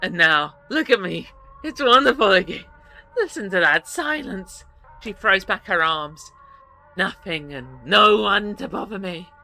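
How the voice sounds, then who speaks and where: A woman voicing a cold, unhinged adult woman who speaks slowly and heavily, low and quiet, building to threats. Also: faint music behind, exaggerated voice, poor audio. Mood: manic glee. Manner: slow, heavy, low and quiet, then swelling.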